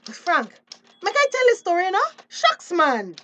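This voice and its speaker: A woman's voice: extremely high pitch, huge pitch swings, unhurried, a bit bright, a little hiss or hum, heavily acted. A woman acting an outspoken, street-smart fisheries officer in a radio drama, telling things expressively in a Belizean Creole accent. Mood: animated, confiding.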